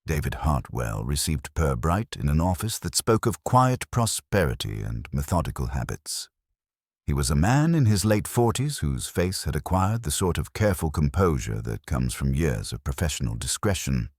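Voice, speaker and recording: Male narrator, very low and slightly husky, measured mystery storytelling, close dry sound. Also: sing-song, no echo. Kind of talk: scripted audiobook-style mystery narration.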